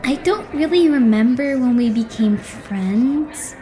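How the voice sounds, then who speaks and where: Super high flustered teen idol boy, someone acting him out, stammery, anime dub style. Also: expressive, slow pace, dark tone. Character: flustered, excitable high-voiced teenage idol boy, easily exasperated.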